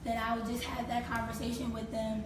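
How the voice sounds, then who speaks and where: A woman in her thirties, a slightly hoarse guest on a talk show, speaking animatedly and acting out what people said to her. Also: fairly high pitch, a little fast. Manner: animated, acts out quoted speech. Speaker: guest, creative consultant. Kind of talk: casual interview talk. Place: talk show set on stage, seated in armchairs. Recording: echoey room from far off, noisy.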